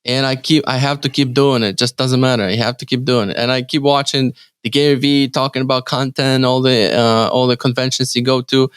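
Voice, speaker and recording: A man from Ukraine speaking English as his second language with a Ukrainian accent, answering interview questions in a halting way with many restarts and fillers. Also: mid-range voice, level tone, fairly bright tone, smooth, no echo, clean studio sound. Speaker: real estate team leader, guest.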